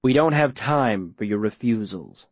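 A man playing a coldly menacing mayor in an audiobook, speaking in a measured, formal, falsely gentle way. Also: on the low side, animated, dry recording, muffled recording. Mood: coldly regretful. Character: smooth-talking, coldly menacing male mayor interrogating a captive.

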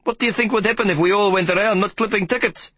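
A man's voice: a little high, big pitch swings, a little slow, fairly bright tone, slightly rough, no room sound, pitched above the performer's own voice, full character voice. A man voicing a sour, harried male train conductor, whiny, accusing and self-pitying.

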